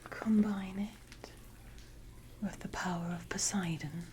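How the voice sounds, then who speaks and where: Siren, female performer: haughty sea predator for ASMR roleplay, soft dark whisper, unhurried and condescending, dripping water behind. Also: medium pitch, fairly even intonation, lightly acted. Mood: solemn, commanding. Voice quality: breathy, mostly unvoiced whisper. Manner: slow, deliberate, condescending, archaic phrasing. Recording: noisy ambience with water drips.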